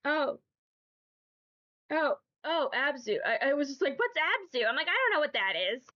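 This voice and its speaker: A woman's voice: high-pitched, sing-song, moderate pace, highs cut off. A woman streamer with a stuffy nose from allergies, chatting casually and rambling to her chat. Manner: rambling, chatty, repeats herself.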